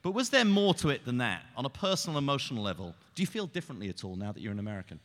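A man's voice: mid-range voice, big pitch swings, rapid-fire, a bit bright. A British man co-hosting a live football show on stage with a playful delivery. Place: on stage in a large theater. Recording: reverberant theater hall.

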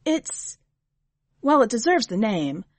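A woman's voice: on the high side, sing-song, slow pace, no echo, pitched above the performer's own voice, full character voice. A woman voicing an anxious young wife who talks eagerly and earnestly.

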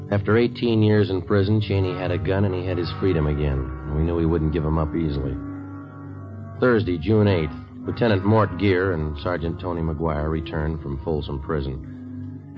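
An actor playing a terse, dogged police detective in 1950s radio drama style, clipped and matter-of-fact. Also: male voice, rumbling low. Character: terse, dogged male police detective sergeant narrating his case. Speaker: detective and narrator. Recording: muffled old radio recording, music.

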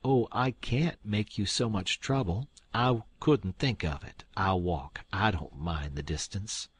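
A man voicing a cheeky, smooth-talking teenage boy who acts overly polite and innocent. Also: lowish voice, a little slow, no room sound.

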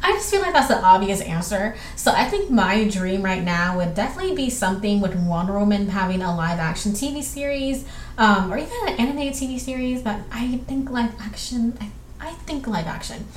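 A woman's voice: medium pitch, expressive. A young woman from southwestern Georgia with a Southern accent, hosting a casual solo podcast and rambling through listener questions with lots of fillers. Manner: rambling, chatty, filler-heavy, quick bursts. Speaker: podcast host. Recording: echoey room with faint background noise.

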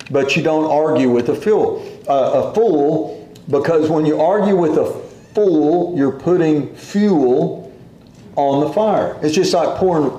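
A man preaching a sermon in an unhurried, folksy way, quoting scripture as he goes. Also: on the high side, warm tone, reverberant, low-quality recording.

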